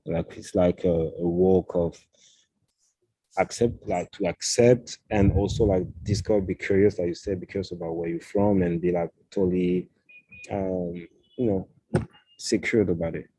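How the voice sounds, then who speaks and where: A man, a fashion designer speaking as a guest in a casual interview, pausing often and searching for words. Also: sits low, steady tone, mellow, slightly rough, dry recording, low-quality recording. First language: French. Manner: halting, searching for words, many "uh"s.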